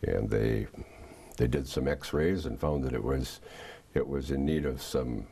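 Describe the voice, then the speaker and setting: An older man giving a testimony in a TV studio interview, answering in a halting way with many restarts. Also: extremely low pitch, gravelly, mellow, breathy edge. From Canada. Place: small TV studio set, indoors.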